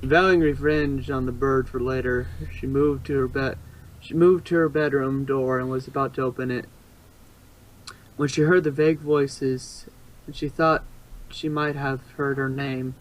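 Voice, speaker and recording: A man's voice: medium pitch, fairly even intonation, measured pace, low-quality recording. A man narrating fan fiction as an amateur storyteller, sometimes stumbling and repeating phrases.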